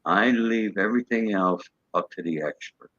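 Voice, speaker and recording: A man's voice: fairly low pitch, moderate pace, a bit bright, no room sound. A man guest in a casual interview, matter-of-fact and peppering his talk with "you know". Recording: dry, dull lo-fi audio.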